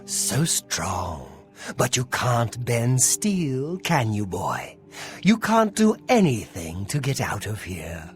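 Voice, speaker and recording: Male game prisoner: taunting, spiteful, unhurried, extremely sing-song sneer. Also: mid-range voice, very animated, soft background music. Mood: mocking. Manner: mocking, drawn-out sneer.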